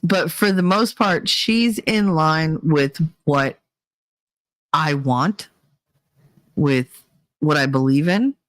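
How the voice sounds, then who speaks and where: A woman's voice: sits low, slow pace, pauses often, dry recording. Blunt, profane woman ranting her opinions. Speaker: vlog host. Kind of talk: casual opinion monologue.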